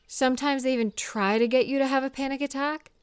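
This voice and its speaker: Gentle, reassuring woman acting as a caring female friend, dry sound. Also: high voice, steady tone, quick pace, clean tone, dry recording, close to an everyday voice. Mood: sympathetic. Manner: gentle, reassuring, earnest, hesitant. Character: caring, slightly awkward woman comforting a friend with phobia. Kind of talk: comfort audio roleplay, speaking one-on-one to the listener.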